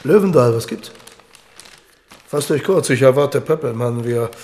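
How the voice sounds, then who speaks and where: A man's voice: mid-range voice, animated, a little slow, a little mellow, a little hiss or hum, close to an everyday voice. An actor playing an imperious, short-tempered king in a radio drama, curt and commanding. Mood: impatient.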